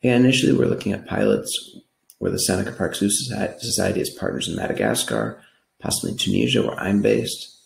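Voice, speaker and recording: An American man from New York giving an earnest, explanatory slide presentation pitch in an echoing room. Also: big pitch swings, brisk, gravelly, slight background noise. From New York, United States. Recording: roomy, echoing voice recording.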